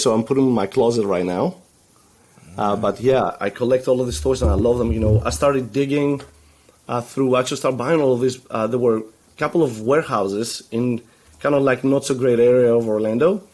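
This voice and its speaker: A man's voice: medium pitch, moderate pace, darkish tone. A man from Greece, a guest on a video call, who talks in loose rambling runs with many fillers and restarts and laughs easily. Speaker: guest, web agency founder. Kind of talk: casual video call interview. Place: home room full of toy shelves.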